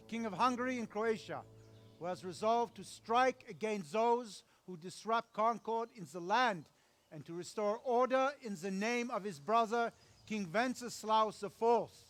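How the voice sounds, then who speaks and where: An actor playing a haughty noble knight and royal envoy in a video game, declaiming in a formal manner. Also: male voice, high voice, moderate pace, a bit bright, soft background music. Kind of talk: scripted video game cutscene acting.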